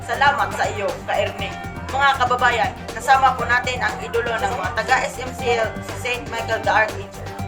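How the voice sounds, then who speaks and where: A woman's voice: a little high, fairly even intonation, normal speed, over music, lightly acted. A woman playing a formal radio correspondent reporting from heaven in a parody news play. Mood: formal, presenting. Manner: formal presenting.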